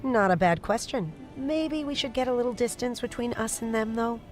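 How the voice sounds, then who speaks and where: A woman's voice: on the high side, moderate pace, a little mellow, faint music behind, close to an everyday voice. An actress playing a candid, wry woman in a secret romance, understated and direct, in an audio drama. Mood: wry, teasing. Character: wry, candid woman, patient but wounded secret lover. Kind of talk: naturalistic audio drama acting.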